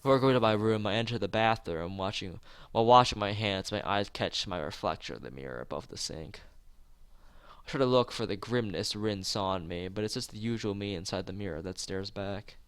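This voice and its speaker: A man's voice: lowish voice, a little fast, a bit bright, clean tone, no echo. A man streaming a let's play, reading a visual novel aloud with casual asides, often tripping over words and rereading them. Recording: music playing behind the voice.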